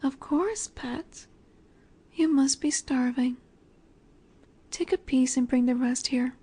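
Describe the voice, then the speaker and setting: Slow, high-voiced, gently commanding lamia played by a woman. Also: slightly rough, full character voice. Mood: tender, doting. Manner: gentle, coaxing, softly commanding, often dropping to whispers. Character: dominant, nurturing female lamia, a snake-woman monster caretaker. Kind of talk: intimate scripted roleplay audio.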